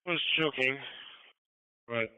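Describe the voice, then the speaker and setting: A man's voice: mid-range voice, slow pace, sharp tone, clean tone, dry recording. A man giving terse emergency dispatch messages over a two-way radio. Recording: thin phone-like scanner radio.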